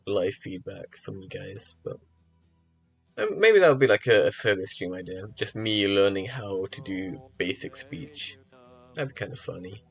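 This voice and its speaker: A man chatting casually on a live stream, rambling with lots of fillers and restarts, his voice a little rough as if from early mornings. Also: fairly low pitch. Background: faint music.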